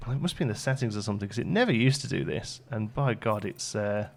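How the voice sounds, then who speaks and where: A man's voice: lowish voice, animated, quick pace, vocal fry. A man hosting a let's play, chatting casually and thinking aloud as the game goes along. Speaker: gaming video host.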